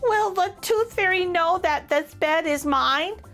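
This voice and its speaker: A woman's voice: very high-pitched, a little slow, a bit bright, faint music behind, pitched above the performer's own voice. A woman voicing a nervous young boy shark in a storybook, speaking in worried, eager rhyming lines. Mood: excited.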